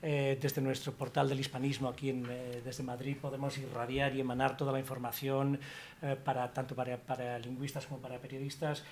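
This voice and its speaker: A man's voice: medium pitch, little pitch movement, fairly quick, a little mellow, slight background noise. A middle-aged man, academic director of an institute, speaking on a panel, rambling with many restarts. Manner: rambling, many restarts and fillers, informal asides. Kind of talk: panel talk at a colloquium.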